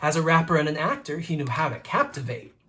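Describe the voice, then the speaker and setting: A children's read-aloud host with a mid-pitched voice and very lively intonation. The delivery is rhythmic and playful, leaning into the rhymes of a picture book. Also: quick pace.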